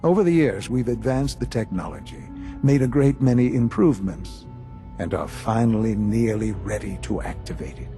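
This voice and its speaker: An actor playing a grave older institute leader in a video game, speaking formally and deliberately with measured pauses. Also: male voice, lowish voice, dark tone, soft background music, close to an everyday voice. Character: grave older male leader of a secretive science institute, dying.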